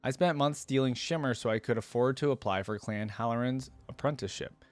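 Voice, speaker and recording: A man's voice: mid-range voice, brisk, no room sound, close to an everyday voice. A man reading a first-person story as a downcast, bitter young male inventor from a smoggy slum, in a plain, resigned manner.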